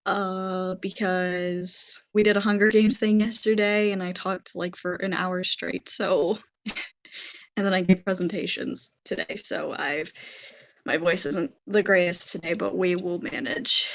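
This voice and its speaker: A young woman hosts a casual online interview. Her voice is soft and a little hoarse, and she hesitates with plenty of ums and uhs between questions. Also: fairly low pitch, steady tone, unhurried. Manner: hesitant, many fillers. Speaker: interview host.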